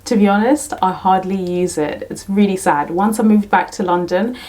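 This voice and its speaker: A woman's voice: mid-range voice, normal speed, a little mellow. A young woman from Kenya, a teacher, casually answering questions to camera, repeating "really, really" and "to be honest" often. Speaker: teacher and YouTube tutor.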